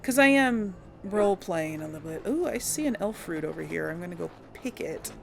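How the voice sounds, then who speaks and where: A woman's voice: fairly low pitch, lively intonation, fairly quick, vocal fry, soft background music. A woman hosting a casual role-play game playthrough, thinking aloud in a rambling way. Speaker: let's play host.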